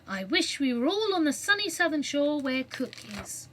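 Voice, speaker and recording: A woman reading aloud, voicing a gentle, kind young girl. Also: high voice, very animated, moderate pace, slight background noise, pitched above the performer's own voice. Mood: bright. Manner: gentle, soothing.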